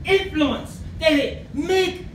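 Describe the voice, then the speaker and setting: A woman's voice: very high voice, extremely slow, full character voice. A woman on a theatre stage playing an obsessed, imperious archaeology professor with a grudge, in theatrical radio-play style. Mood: bitter, fervent. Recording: echoey theatre, distant mic, noisy background.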